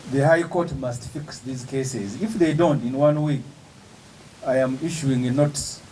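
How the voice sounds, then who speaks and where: A man's voice: medium pitch, unhurried, darkish tone, slight background noise, rough recording. Emphatic man at a press briefing. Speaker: opposition politician.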